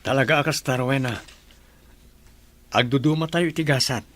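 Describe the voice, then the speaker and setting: A man's voice: medium pitch, close to an everyday voice. An actor with an Ilocano accent playing a modest, dutiful son and successful businessman, speaking politely in a radio drama. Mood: earnest, polite. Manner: polite, earnest, measured.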